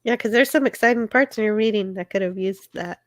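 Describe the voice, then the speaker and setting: A woman's voice: medium pitch, fairly quick, dry recording. A woman chatting casually as co-host of a livestream. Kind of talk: casual chat.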